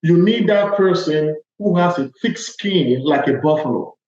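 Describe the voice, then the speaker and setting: A man's voice: a little high, animated, a little slow, a little mellow. A man with a Nigerian accent answering interview questions conversationally as a guest, emphatic and checking in as he makes each point. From Lagos, Nigeria. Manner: emphatic, punctuates points with "okay" and "all right". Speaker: podcast guest, agile transformation consultant. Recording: echoey room, slightly dull audio.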